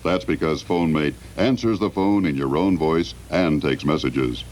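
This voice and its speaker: Very deep 1970s ad announcer. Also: male voice, darkish tone. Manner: measured, earnest sales pitch. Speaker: commercial announcer. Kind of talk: 1970s scripted radio advertisement. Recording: 1970s radio tape with faint noise.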